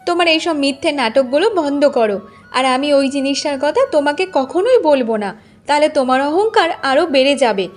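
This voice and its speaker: A woman's voice: sits high, expressive, brisk. A woman voicing a proud, defiant young village woman in a cartoon, answering back with firm taunts. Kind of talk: Bengali cartoon voice acting.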